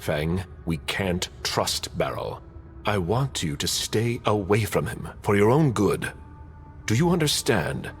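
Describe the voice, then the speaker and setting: An actor playing a stern, protective and menacing vampire enforcer in a video game, speaking in a grave, commanding, gravelly way. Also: male voice, lowish voice, expressive, slow, soft background music. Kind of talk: dramatic video game acting.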